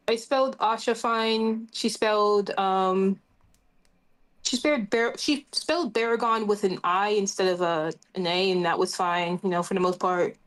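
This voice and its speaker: A woman's voice: medium pitch, poor audio. A woman chatting casually and playfully as a player in an online tabletop roleplaying game.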